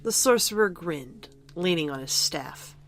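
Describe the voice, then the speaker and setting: A woman's voice: on the low side, expressive, unhurried, a little hiss or hum. A woman narrator reading scripted fiction for an audio drama.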